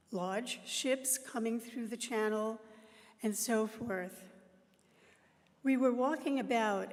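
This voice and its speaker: A middle-aged woman leading a church service, telling a story in a slow, earnest way into a microphone. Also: a little high, darkish tone. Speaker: worship leader. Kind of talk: reflective talk at a service. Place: church sanctuary. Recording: reverberant hall.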